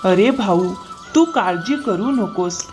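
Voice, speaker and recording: Woman as scheming, smooth-talking male cartoon farmer. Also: mid-range voice, animated, slow, a little mellow, soft background music. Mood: ingratiating. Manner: ingratiating, excuse-making. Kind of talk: cartoon moral-story dialogue.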